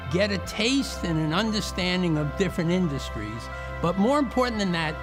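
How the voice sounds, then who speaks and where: Formal measured guy, slightly high voice, music behind. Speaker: program supporter speaking to camera. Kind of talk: formal interview statement.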